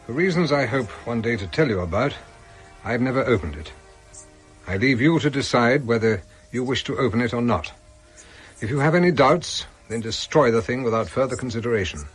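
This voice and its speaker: A man's voice: medium pitch, lively intonation, husky, faint music behind. An actor playing a grave, honourable older English father, solemnly voicing a letter in a radio drama. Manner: solemn, measured.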